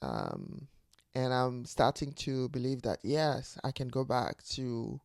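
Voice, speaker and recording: A woman's voice: a bass voice, very animated, takes it slowly, vocal fry, a little mellow, no echo, clean studio sound. A woman in her forties from Ivory Coast, talking to camera in an unscripted, reflective way as she searches for her words. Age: 40s. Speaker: channel host, teacher. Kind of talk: unscripted personal talk to camera.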